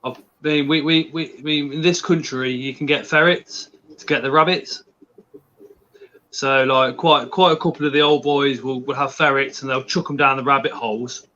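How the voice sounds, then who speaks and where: A man's voice: medium pitch, moderate pace. Chatty man on muffled audio. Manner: relaxed, chatty, some restarts. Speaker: channel host. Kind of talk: casual livestream chat.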